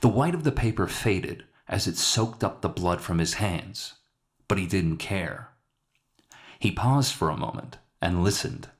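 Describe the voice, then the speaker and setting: A man's voice: deep voice, animated, measured pace. A man reading a scripted dramatic short story aloud as its narrator. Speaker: author narrating his own story.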